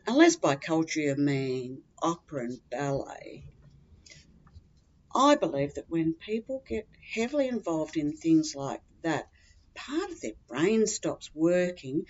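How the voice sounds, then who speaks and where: A woman's voice: low-pitched, very animated, unhurried, a little mellow, highs cut off. A woman with an Australian accent reading a scripted humorous first-person story in a dry, matter-of-fact comic way. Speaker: humorous writer reading their own story.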